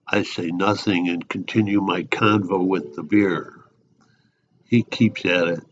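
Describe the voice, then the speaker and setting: A man's voice: sits low, fairly even intonation, measured pace, lo-fi sound, pitched below the performer's own voice. A man playing a sullen, foul-mouthed loner drinking at a bar, telling his story wearily as a dramatic monologue. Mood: irritated, weary. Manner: sullen, terse, weary storytelling.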